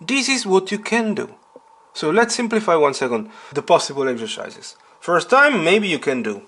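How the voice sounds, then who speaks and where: A man's voice: a little high, very animated, normal speed. Man teaching piano step by step. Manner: didactic, step-by-step explaining with repetition. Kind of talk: informal instructional tutorial at the piano. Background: piano being played.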